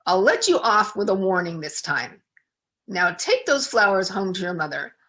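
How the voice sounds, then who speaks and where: A stern policeman from a children's storybook, acted out by a woman reader. The delivery is commanding and annoyed, with lively swings in pitch as he scolds. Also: moderate pace.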